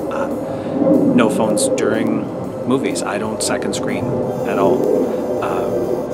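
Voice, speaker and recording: A middle-aged man giving a thoughtful, halting personal monologue as a vlogger, in a measured way. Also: fairly low pitch. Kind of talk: personal reflective monologue to camera.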